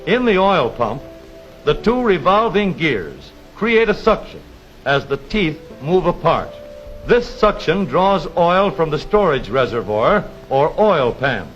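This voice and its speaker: A dull, lo-fi vintage film soundtrack with music underneath carries a man's narration. His delivery is formal and precise, in the manner of a 1930s educational film announcer. The intonation rises and falls in a very animated way as he explains things step by step. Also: a little high, slow.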